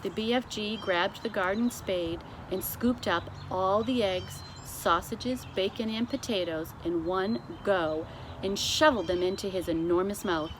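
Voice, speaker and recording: A woman reading a children's storybook aloud as narrator, with expressive delivery. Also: medium pitch, measured pace, background noise. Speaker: storybook reader.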